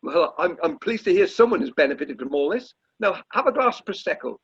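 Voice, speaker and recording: Male actor as British society chairman: high-pitched, self-important, fussy and halting; amateur radio play, dull lo-fi call audio. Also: very animated, breathy edge, full character voice. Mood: bustling, welcoming. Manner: stammering, pompous, fussy. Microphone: video call. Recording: muffled lo-fi video call audio.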